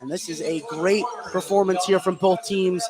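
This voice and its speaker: An American man doing live play-by-play basketball commentary on the radio, with excited calls of the action. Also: high voice, normal speed, crowd noise. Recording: live game broadcast with clear background noise. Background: sports venue noise.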